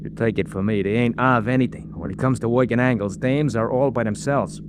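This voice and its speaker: An actor playing a brash, streetwise cab driver sidekick in a 1940s radio drama, full of opinionated working-class slang. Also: male voice, mid-range voice, fairly quick, natural voice. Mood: brash, grumbling. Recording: noisy vintage radio, vehicle ambience.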